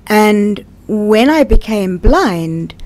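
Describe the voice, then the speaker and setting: Woman, middle-aged, South African accent, average pitch, conversational personal storytelling, interview guest. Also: animated, very slow, darkish tone.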